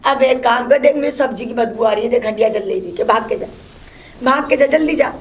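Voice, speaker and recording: A woman playing a shrill, cursing old mother-in-law who keeps scolding her daughter-in-law. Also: fast talker. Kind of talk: comic one-woman sketch.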